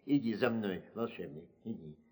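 A man's voice: lowish voice, expressive, normal speed, warm tone, husky. A man playing a vain fairy-tale king in an audio tale, speaking both imperiously and pleadingly.

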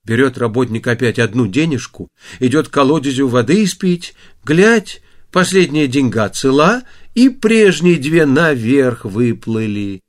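Animated male fairy-tale storyteller. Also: mid-range voice, unhurried. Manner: theatrical, folksy storytelling. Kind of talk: expressive fairy-tale audiobook narration.

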